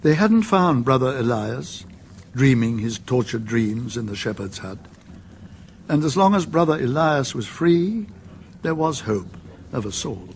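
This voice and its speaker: A man narrating a radio drama in a measured, literary storytelling manner. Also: mid-range voice, big pitch swings, a little hiss or hum.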